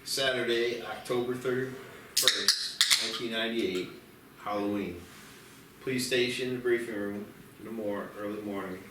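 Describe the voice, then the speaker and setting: A man's voice: on the low side, fairly even intonation, normal speed, heavy background noise. A man reading screenplay stage directions as the narrator of a table read. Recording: very echoey, distant mic, music behind. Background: music.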